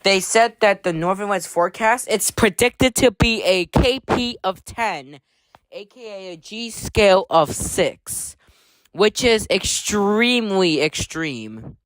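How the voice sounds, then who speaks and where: A woman's voice: mid-range voice, animated, slow, no echo, low-quality recording. A woman playing an emergency alert announcer, reading a scripted official warning in a formal, slightly clumsy way. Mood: official, urgent.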